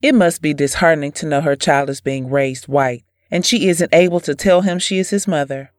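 Woman to play devoted young farm wife in audio drama, lower-register, gentle, earnest, dry recording. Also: normal speed, no room sound, lightly acted. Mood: sympathetic.

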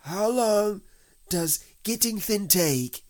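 A man voicing a plump, innocent, greedy storybook bear with hesitant, plaintive, drawn-out speech. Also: on the high side, expressive, darkish tone, voice pushed up. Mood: anxious.

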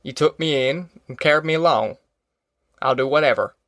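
A man's voice: fairly high pitch, moderate pace, fairly bright tone, no echo, studio quality, close to an everyday voice. A man voicing a humble, obliging country preacher, in an audiobook. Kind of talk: audiobook character acting.

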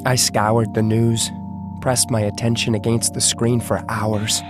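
An actor playing a shaken, brooding husband who lives through a Martian invasion, in audio theatre. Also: male voice, lowish voice, level tone, measured pace, over music, close to an everyday voice. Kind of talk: dramatic audio theatre narration and dialogue.

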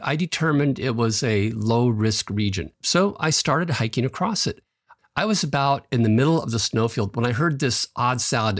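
A man with a slightly raspy voice from allergies, hosting a devotional podcast and telling a personal story in a calm, earnest way with light chuckles. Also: medium pitch, no echo, clean studio sound. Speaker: podcast host.

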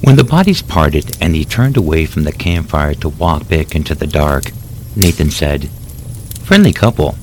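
A man, the story's author, narrating a horror tale in a measured, dramatic storybook reading. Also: a bass voice, animated, background noise.

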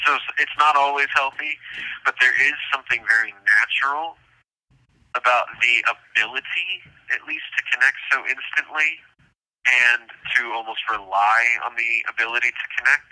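A man speaking candidly and reflectively over a tinny phone line, halting and repeating phrases as he goes. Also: medium pitch, pushed and bright. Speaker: prison inmate interviewee. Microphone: telephone.

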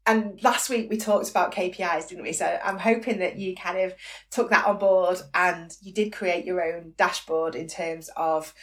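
A woman with a croaky voice hosting her own business podcast, chatty and encouraging, often checking in with "okay?". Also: on the low side, steady tone, moderate pace, a bit bright, distant mic. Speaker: podcast host, business coach. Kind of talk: solo podcast monologue.

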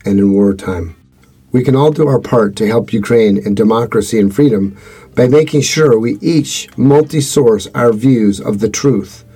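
A man hosting a podcast, reading a scripted explanation in an earnest, measured way. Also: medium pitch, mellow, slightly rough. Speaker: podcast host.

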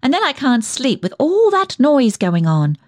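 A self-righteous village dressmaker and town gossip in a comic storytelling monologue. Her pitch swings in a very animated way as she chatters, digresses and hands out tactless opinions. The sound is dry, with no room around the woman's voice. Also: a little slow, no room sound, heavily acted. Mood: opinionated, chatty.

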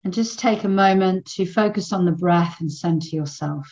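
A woman leading a guided meditation in a soothing, unhurried manner with long pauses between phrases. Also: on the low side, a little mellow, highs cut off.

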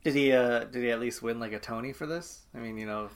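A woman's voice: rumbling low, fast talker. A woman co-hosting a podcast who reacts with teasing jokes in a casual chat. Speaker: podcast co-host.

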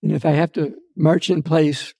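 An older man with a raspy voice, answering questions in a casual interview, soft-spoken and unhurried. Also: fairly high pitch, little pitch movement, dry recording. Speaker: interview guest, retired dentist.

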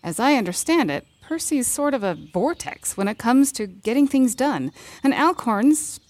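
A woman's voice: high voice, animated, moderate pace, a little mellow, no echo. An actress playing a wry, reassuring woman advisor in an audio drama, talking in a blunt, casual way. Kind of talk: fiction podcast drama acting.

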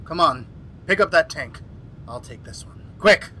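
Man acting anguished scientist, bright forceful voice, faint noise. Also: fairly high pitch, expressive, heavily acted. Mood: urgent. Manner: melodramatic, halting, urgent. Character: anguished scientist, doctor haunted by his creation. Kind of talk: old-time radio horror acting.

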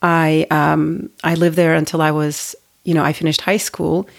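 A woman's voice: low-pitched, level tone, normal speed, vocal fry. A woman in her forties from Turkey, hosting a podcast and telling her own story in a reflective, conversational way with restarts and fillers. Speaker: podcast host. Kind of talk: personal storytelling monologue.

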